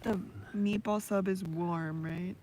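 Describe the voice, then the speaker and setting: A woman's voice: lowish voice, fairly even intonation, takes it slowly. A woman chatting casually with a hiking partner, dry and teasing. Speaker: hiking companion. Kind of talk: casual chat. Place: outdoors on a hiking trip. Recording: distant mic, loud outdoor noise.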